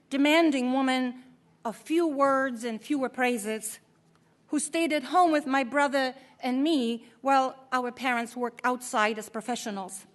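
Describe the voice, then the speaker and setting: A middle-aged woman from Poland, a professor, giving a formal commencement keynote at a podium microphone in a measured, deliberate way. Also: high-pitched.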